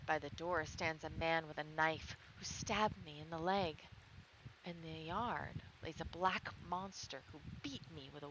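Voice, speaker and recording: A woman reads as a frightened storybook robber, recounting horrors to his chief. Clear noise runs behind the voice. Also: fairly low pitch, natural voice. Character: frightened male robber reporting to his captain. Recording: clearly noisy background.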